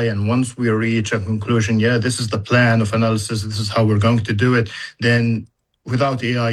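A man who speaks English as a second language, explaining things as an educator in a measured interview conversation, using examples. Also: on the low side. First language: not English. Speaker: university educator in data analytics.

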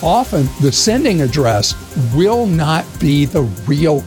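Man, consumer advice segment, earnest, advisory, animated pitch, light music behind. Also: slow. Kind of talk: scripted radio segment.

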